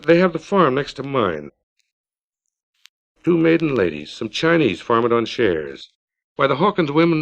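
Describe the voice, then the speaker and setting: A man's voice: a little high, vocal fry, pitched above the performer's own voice. A man reading an earnest small-town man's emphatic, colloquial dialogue in a story, on a 1950s record. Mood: agitated, defensive. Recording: dull 1950s vinyl record.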